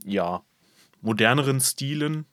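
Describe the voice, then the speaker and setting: A man co-hosting a podcast in a relaxed way, adding his own asides. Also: medium pitch, slow, no echo, studio quality. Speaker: podcast co-host. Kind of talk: casual podcast chat.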